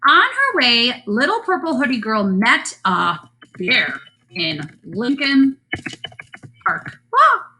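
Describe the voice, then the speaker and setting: A woman's voice: fairly high pitch, very animated, takes it slowly, sharp tone, a little hiss or hum, rough recording. A woman teacher giving a lesson to children, thinking aloud as she writes a story, with playful asides. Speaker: elementary school teacher.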